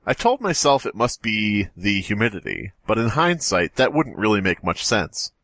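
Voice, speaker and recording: Animated, low-voiced man reading with jokes. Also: moderate pace, dry recording, studio quality. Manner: jokey, mock-dramatic. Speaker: podcast co-host. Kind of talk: animated story reading with joking asides.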